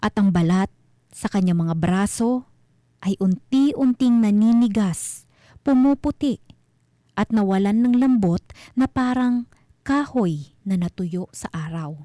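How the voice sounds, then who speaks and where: A woman tells a scripted horror tale in a dark, mellow voice at a middling pitch. Her phrasing is deliberate and suspenseful, as a radio storyteller. The sound is dry and close, with no room around it. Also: no room sound.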